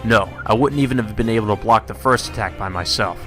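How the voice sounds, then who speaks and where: A man's voice: fairly low pitch, fast, fairly bright tone, faint music behind. A man reading a visual novel aloud as narration, in the manner of a let's play host.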